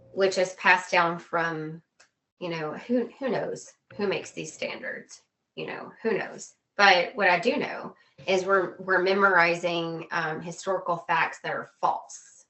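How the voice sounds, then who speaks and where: A woman with a lowish, bright and forceful voice speaks as a guest in a casual chat. She is opinionated and emphatic, often repeating a phrase to drive it home. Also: takes it slowly, rough recording. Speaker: interview guest, former teacher.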